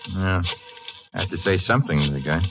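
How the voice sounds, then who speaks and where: An actor playing a brash, wisecracking detective's assistant in a 1950s radio drama, sardonic, breezy and slangy. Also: male voice, low-pitched, a little fast, noisy background. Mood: wry, alert. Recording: dull, lo-fi old radio recording.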